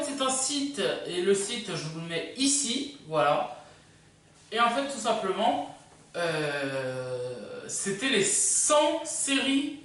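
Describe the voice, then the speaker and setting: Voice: medium pitch, a bit bright. A video host presenting a countdown casually and unscripted, rambling and hesitant, often drifting into asides. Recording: echoey room, distant microphone.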